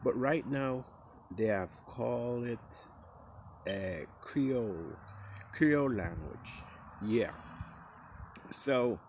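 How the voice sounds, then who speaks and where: A man from the Caribbean coast of Costa Rica with a Costa Rican Caribbean English accent, telling stories casually in a relaxed way and acting out quoted speech in a higher voice. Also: slight background noise. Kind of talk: casual storytelling.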